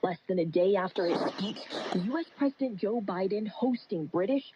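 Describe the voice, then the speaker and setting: A woman's voice: medium pitch, animated, moderate pace. A woman correspondent reading a scripted news report, heard over shortwave radio. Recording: muffled, noisy shortwave radio broadcast.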